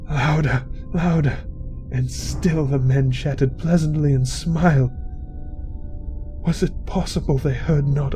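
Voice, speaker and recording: A guilt-ridden madman raves his confession in a gothic horror monologue. His voice is strained high and frantic, rising toward shrieks. Loud music runs beneath him. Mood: anguished frenzy. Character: mad, guilt-tormented murderer confessing in rising frenzy.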